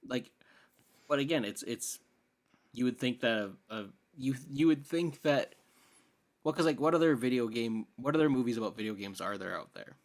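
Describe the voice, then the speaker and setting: A man co-hosting a podcast, chatting informally about films and building his argument in a halting way. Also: mid-range voice, animated. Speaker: podcast co-host. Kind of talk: casual film discussion.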